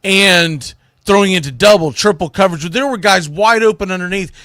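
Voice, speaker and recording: A man's voice: sits high, a little fast, fairly bright tone, no echo, clean studio sound. A man hosting a sports radio show, giving opinionated commentary in a blunt, emphatic, folksy way with salty slang.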